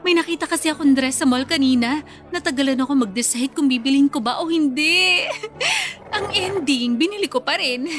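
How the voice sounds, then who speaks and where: Sassy young bride, high voice, Filipino accent, bossy, flighty, radio drama, faint music behind. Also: female voice, normal speed, fairly bright tone. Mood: breezy, unbothered. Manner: breezy, sassy, argumentative.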